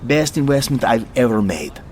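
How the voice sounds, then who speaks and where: A man's voice: medium pitch, very animated, normal speed, darkish tone, a little hiss or hum, close to an everyday voice. A man playing a wealthy, courtly client in an audio drama, effusive and pleased with himself, savoring his words. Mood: boastful, effusive. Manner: courtly, boastful, chuckling hesitations, savoring words. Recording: music under the voice.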